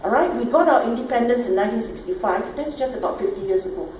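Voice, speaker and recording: A woman's voice: a little high, animated, fast talker, darkish tone. A middle-aged woman from Singapore with a Singaporean accent, giving an emphatic conference talk full of rhetorical questions and checks like "all right?". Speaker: conference speaker. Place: conference hall. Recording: echoey hall, distant mic, faint noise.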